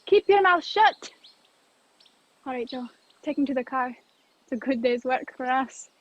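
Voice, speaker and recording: A woman's voice: high-pitched, animated, measured pace, no room sound. A woman playing a bossy, taunting, crooked policeman in an old-fashioned radio play, with muffled video-call sound.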